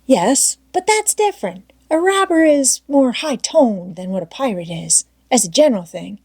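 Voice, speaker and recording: An excitable boy who schemes and bosses his gang in a storybook played by a woman narrator. He talks in a raised, emphatic, persuasive voice. The sound is close and dry. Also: a little high, normal speed, husky, dry recording, pitched above the performer's own voice, full character voice. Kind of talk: storybook character voice in audiobook reading.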